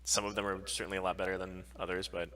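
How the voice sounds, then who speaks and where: A man's voice: low voice, level tone, quick pace, sharp tone, poor audio. A man co-hosting a podcast live stream, chatting casually and bluntly with opinions and plenty of swearing.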